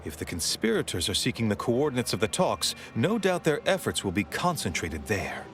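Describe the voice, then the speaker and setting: A solemn male cleric in a video game gives formal directions. His pitch moves very widely, and music plays clearly underneath. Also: big pitch swings, fairly quick, a bit bright. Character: solemn male member of a religious order giving orders. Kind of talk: video game dialogue acting.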